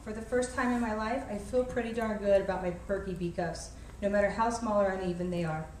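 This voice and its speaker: A woman's voice: mid-range voice, brisk, darkish tone. Woman reading aloud in an echoey room. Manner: wry, self-deprecating. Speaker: author reading aloud, flight attendant. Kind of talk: expressive reading of a humorous personal essay. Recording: echoey room, distant mic, faint noise.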